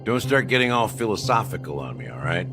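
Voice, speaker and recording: A world-weary, sardonic man from a video game. His low voice swings freely as he drawls and teases, brushing off sentiment. Music plays clearly behind him. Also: very animated, quick pace. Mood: wry, guarded.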